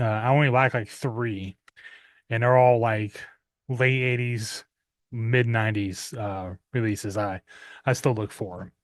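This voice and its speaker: Rambling man with many fillers. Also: mid-range voice. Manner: rambling with many "uh" fillers, clears throat often. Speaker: metal music vlogger. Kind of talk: casual unboxing chat.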